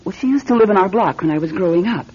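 A reluctant, fretful young wife in a radio drama. Her voice is very husky and swings widely in pitch. The recording sounds dull. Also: animated, fast talker, a little mellow. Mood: chatty, hesitant.

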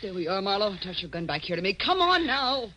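A woman's voice: medium pitch, sing-song, a little fast, pushed and bright. A woman playing a lovesick, dangerous femme fatale with intense 1940s radio melodrama delivery. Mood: anguished. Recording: noisy old radio recording.

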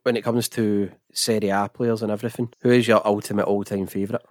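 Scottish man, football podcast host. Low register, conversational interviewing, dry close sound. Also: flat delivery, quick pace, darkish tone, no echo. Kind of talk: casual football chat interview.

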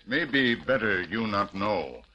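A man's voice: fairly low pitch, expressive, slow pace, pushed and bright, slight background noise, exaggerated voice. An actor playing a terse, loyal male companion who talks in clipped broken English, in a vintage radio drama. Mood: steady. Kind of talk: 1940s radio acting.

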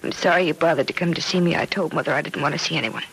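A noisy vintage radio drama recording of a bereaved, bitter young woman in a hospital bed. Her voice is creaky and listless, with a clipped, hopeless way of speaking. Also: low-pitched, rapid-fire, a bit bright. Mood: grieving, hopeless. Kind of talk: 1940s radio drama acting.

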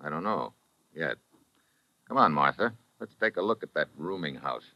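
An actor playing a tough, wry American investigator in an old-time radio drama, with clipped hard-boiled delivery. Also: male voice, a bass voice, lively intonation, normal speed, no echo. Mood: businesslike. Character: tough wisecracking male American investigator posing as correspondent. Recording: dull, hissy vintage radio recording.

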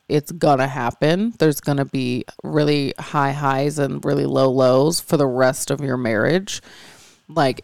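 A woman co-hosting a podcast, candid and earnest, a little raspy and stuffy from allergies. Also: extremely low pitch, darkish tone, no echo, studio quality. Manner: candid, earnest, rambling with fillers. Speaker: podcast co-host. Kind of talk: casual chat.

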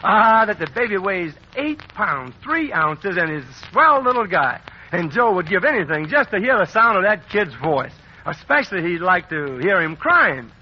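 A man's voice: high voice, very animated, moderate pace, dull audio, a little hiss or hum. A man, a radio announcer, narrating a scripted story in a chummy, folksy way on an old 1940s broadcast.

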